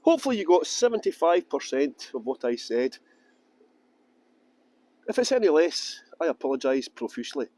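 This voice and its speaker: A man's voice: a little high, very animated, moderate pace, pauses often, darkish tone. A man from Edinburgh with a Scottish accent, guiding a tour group outdoors with jokey, playful commentary. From Scotland. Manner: jokey, playful mock pronunciations. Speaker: tour guide. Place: outdoors by a canal boat lift.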